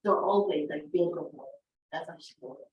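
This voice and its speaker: A woman's voice: low voice, a little fast, dull audio. A woman whose first language is Tagalog, eagerly sharing her experience in a class discussion. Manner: eager, loosely phrased. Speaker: student agent. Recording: distant echoey classroom with faint noise.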